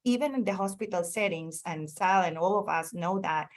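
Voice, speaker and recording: A woman's voice: fairly low pitch, moderate pace. A woman on a webinar panel speaking earnestly and with emphasis about her community.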